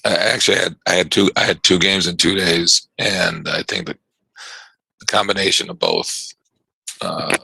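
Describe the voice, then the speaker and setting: A man hosting a live trading chat on a video call, his voice worn from yelling, rambling and stammering through repeated words. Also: sits low, fairly even intonation, normal speed, no room sound, poor audio. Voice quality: gravelly and husky. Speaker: trading show host.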